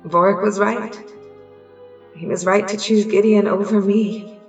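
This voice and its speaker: A woman's voice: mid-range voice, steady tone, unhurried, roomy sound, soft background music, lightly acted. A woman playing a weary, grim army commander in a fantasy audio drama, speaking solemnly and fatalistically. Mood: pained resignation. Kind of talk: dramatic audio fiction acting.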